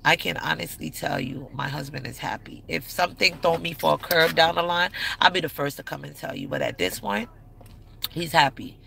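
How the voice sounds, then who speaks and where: A woman's voice: low-pitched, lively intonation, moderate pace, gravelly, sharp tone. A woman in her thirties giving relationship advice in a blunt, candid, slangy way. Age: 30s. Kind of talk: casual advice talk to camera.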